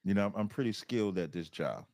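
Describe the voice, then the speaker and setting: A man's voice: low voice, expressive, fast talker, darkish tone, dry recording. Assertive American man explaining, dry sound. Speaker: debate guest, religious group captain. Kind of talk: conversational debate.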